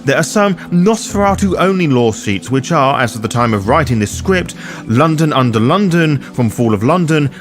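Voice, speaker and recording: A man's voice: medium pitch, animated, moderate pace. A man hosting a game guide, reading scripted explainer narration with a wry, casual manner. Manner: wry, casually sweary asides. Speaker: host of a roleplaying game guide.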